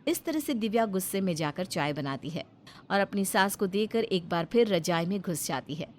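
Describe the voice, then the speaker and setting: A woman narrates a scripted family story. Her voice sits at an average pitch for a woman. Some ambient noise is heard behind her. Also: animated, moderate pace.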